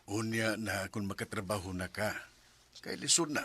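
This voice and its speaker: A man's voice: on the low side, normal speed, very noisy, close to an everyday voice. A man with a Cebuano Filipino accent acting a protective, stern but loving middle-aged father in a radio drama. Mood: gently stern. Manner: admonishing, paternal.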